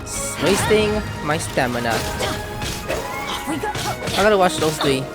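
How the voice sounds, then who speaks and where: Woman streaming a game, lowish voice that jumps around, casual and reactive, game music behind. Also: very animated, takes it slowly. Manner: reactive, exclamatory, talking to the game characters. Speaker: gameplay streamer. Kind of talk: casual let's-play commentary.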